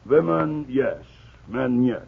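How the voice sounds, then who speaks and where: A man playing a big, evasive Russian doorman with a raspy voice, a Russian accent and halting broken English in 1940s radio acting. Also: mid-range voice, expressive, exaggerated voice. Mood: evasive, stolid. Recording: muffled, narrow-band 1940s radio recording.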